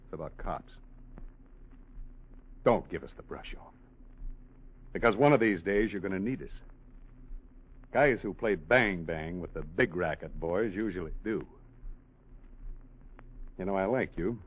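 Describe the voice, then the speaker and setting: Wry tough cop, husky, lowish voice, muffled vintage radio, sarcastic, colloquial, needling. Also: male voice, expressive, a little slow, pauses often, full character voice. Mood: warning. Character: wry, needling male police lieutenant. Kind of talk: 1940s radio crime drama acting. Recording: dull old radio recording.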